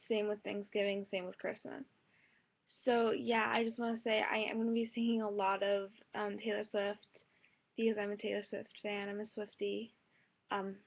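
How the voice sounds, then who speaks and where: Young female vlogger, slightly high voice, informal rambling chat, muffled lo-fi laptop recording. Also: gravelly. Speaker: amateur singer and vlogger. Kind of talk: casual chat to viewers. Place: bedroom, indoors. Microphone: laptop's built-in mic at arm's length. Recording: dull lo-fi laptop microphone.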